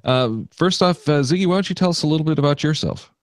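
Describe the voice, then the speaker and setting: A man's voice: a little high, fast, dry recording, clean studio sound. A man hosting a podcast in casual chat, talking loosely with many fillers and restarts. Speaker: podcast host.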